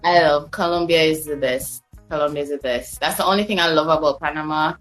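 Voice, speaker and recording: A woman's voice: low voice, brisk, faint music behind. A woman telling travel stories as a podcast guest, chatty and loose, with fillers and restarts. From Jamaica. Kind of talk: casual storytelling chat.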